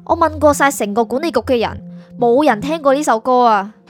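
A woman's voice: high-pitched, a little fast, faint music behind, close to an everyday voice. A woman with a Hong Kong Cantonese accent playing an aloof, matter-of-fact soul prosecutor who is naive about humans, in an audio drama. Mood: baffled.

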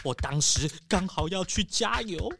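A woman's voice: lowish voice, lively intonation, a little slow, gravelly, fairly bright tone, faint music behind, exaggerated voice. A woman playing a nervous, paranoid male squirrel tycoon in a children's audio detective story, stammering and exclaiming dramatically. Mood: agitated, dramatic.

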